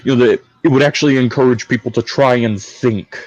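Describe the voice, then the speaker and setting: Measured man over dull, dry call audio. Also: medium pitch, fairly even intonation, no room sound. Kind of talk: casual voice-call discussion.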